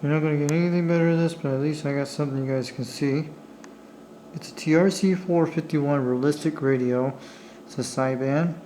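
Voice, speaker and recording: A man giving a casual, unscripted show-and-tell in a halting, apologetic way, as a hobbyist talking while he handles his camera. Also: a little high, fairly even intonation, warm tone, a little hiss or hum, low-quality recording. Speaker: amateur radio hobbyist showing a device. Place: indoors at a table. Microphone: handheld camera's own microphone.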